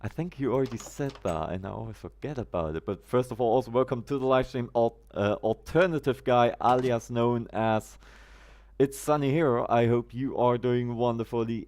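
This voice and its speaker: A man's voice: mid-range voice, a little mellow. A man from Germany, chatting casually on a gameplay live stream, greeting viewers by name in quirky, roundabout phrasing.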